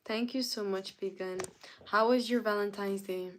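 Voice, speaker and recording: A woman's voice: mid-range voice, steady tone, moderate pace, slight background noise. A young woman from Canada hosting a casual live stream, greeting viewers by name and answering their chat comments. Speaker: livestreamer.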